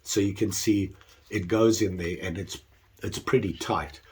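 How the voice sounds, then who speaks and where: A man's voice: low voice, fairly even intonation, unhurried, darkish tone, a little hiss or hum. An older man talking casually about his leatherwork, congested while getting over the flu, self-deprecating and rambling. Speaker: leatherwork vlogger. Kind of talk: casual workshop chat.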